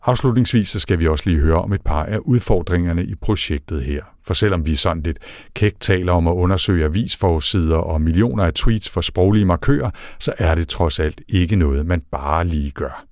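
A man with a very deep voice hosts a podcast and reads his narration in a measured, steady way. The sound is dry and close, with no room around it. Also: no echo. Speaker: podcast host. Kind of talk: scripted narration and interviewing.

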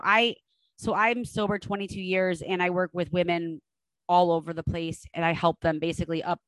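A woman's voice: fairly low pitch, no echo, rough recording. A woman podcast host and coach in a casual interview, enthusiastic and affirming, laughing often.

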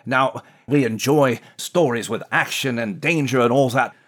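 A man playing a courtly gentleman news reporter character in a story show, with a husky voice and flowery, formal speech. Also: medium pitch, a little slow. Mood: courtly, upbeat. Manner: formal, flowery, old-fashioned. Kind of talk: scripted in-character interview.

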